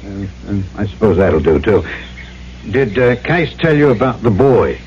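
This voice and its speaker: Low-voiced, plainspoken trader. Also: male voice, sing-song, moderate pace, a little mellow, slight background noise. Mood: awkward, wry. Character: plainspoken male trader narrating his South Seas tale. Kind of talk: radio drama narration and dialogue. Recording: dull old radio recording. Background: music.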